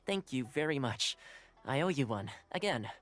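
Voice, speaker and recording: Steady young envoy; mid pitch, expressive. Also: male voice, measured pace, soft background music. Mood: reassuring. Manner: calm, reassuring. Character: young male envoy and retainer, calm and reassuring. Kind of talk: game cutscene dialogue.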